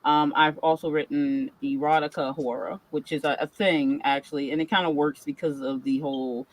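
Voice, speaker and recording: A woman's voice: low-pitched, fairly even intonation, normal speed, poor audio. A woman horror author on a panel, talking in an eager, rambling way with lots of fillers. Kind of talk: casual panel discussion.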